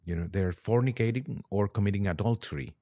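A man speaking as a priest and podcast guest, giving careful, somewhat halting explanations in conversation. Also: fairly low pitch, dark tone. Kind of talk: conversational interview answers.

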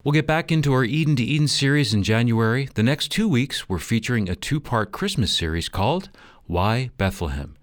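A man's voice: mid-range voice, big pitch swings, a little fast, dry recording, clean studio sound. A man doing scripted radio announcing as the program's announcer, reading out closing notices.

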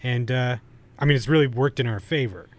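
A man's voice: mid-range voice, brisk. A man co-hosting a podcast in casual, irreverent, joking banter. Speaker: podcast co-host.

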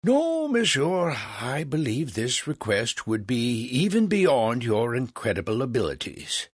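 A man's voice: a little high, very animated, unhurried, creaky, fairly bright tone, husky, no echo, clean studio sound, voice pushed up, heavily acted. A man voicing a wily old philosopher in a storybook, courteous and theatrically coy. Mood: mock regretful. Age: older. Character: wily old philosopher, flattering and feigning wistfulness.

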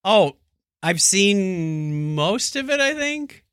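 Animated man co-hosting a casual podcast. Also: high-pitched, takes it slowly, clean tone, no room sound, studio quality. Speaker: podcast co-host. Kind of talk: casual chat and listener thanks.

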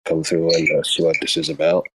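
A man chatting casually and slangily as a guest, with hesitant pauses. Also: a bass voice, level tone, creaky, background noise. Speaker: newcomer guest.

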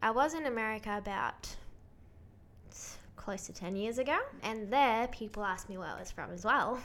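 A woman with a mixed, hard-to-place accent speaks English as a second language in a mid-pitched voice. She tells stories in a chatty, good-humoured way as a podcast guest. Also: moderate pace, fairly bright tone. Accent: mixed non-native English accent. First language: not English. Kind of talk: casual conversational interview.

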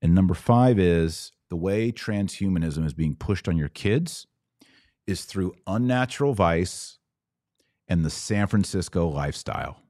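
A man's voice: low voice, expressive, measured pace, dark tone, dry recording, clean studio sound. A middle-aged man hosting a podcast, delivering religious commentary in an emphatic, preachy yet conversational way. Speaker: podcast host.

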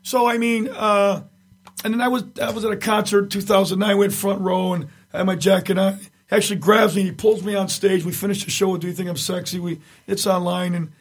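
A raspy-voiced middle-aged man, a tribute singer, chatting casually as an interview guest and telling rambling stories with many restarts. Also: high-pitched, steady tone, brisk. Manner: rambling storyteller, many restarts, frequent chuckles.

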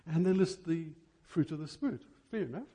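A man preaching an impassioned church sermon, emphatic, with rhetorical questions and deliberate stresses on key words. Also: on the high side, lively intonation, warm tone.

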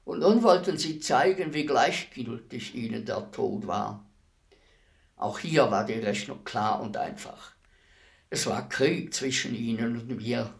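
A weary executioner in a radio play, musing philosophically on death. The voice is mid-pitched with a heavy creak, and the delivery is very slow and deliberate. The manner stays wistful, formal and brooding. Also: male voice, animated. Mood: brooding, intense. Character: world-weary executioner, wistful, respectful, philosophical about dying. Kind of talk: radio play acting, slow reflective monologue.

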